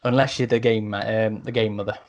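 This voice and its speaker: A man's voice: on the low side, little pitch movement, brisk, slight background noise. A man hosting a podcast, steering a casual panel discussion. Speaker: podcast host moderating a panel.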